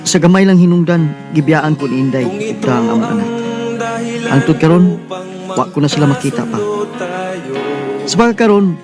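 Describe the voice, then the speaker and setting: Actor as an earnest young man, animated, musical backing. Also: on the high side, normal speed, pauses often, close to an everyday voice. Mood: wistful narrating. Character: earnest young working man and aspiring boxer, hesitant. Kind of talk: Bisaya radio drama acting and narration. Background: music under the voice.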